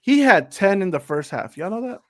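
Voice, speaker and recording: A man's voice: high-pitched, sing-song, moderate pace, fairly bright tone. A man on a casual sports panel, making his case in an exasperated, pointed way with rhetorical questions.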